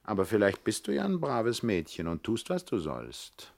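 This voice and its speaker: A man playing a cocky, jealous gangster with a swaggering, mock-gentlemanly menace in a 1960s radio crime comedy. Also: fairly low pitch, wildly swooping pitch, moderate pace, gravelly, full character voice. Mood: menacing, sceptical. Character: cocky jealous male gangster, charming pickpocket turned crook.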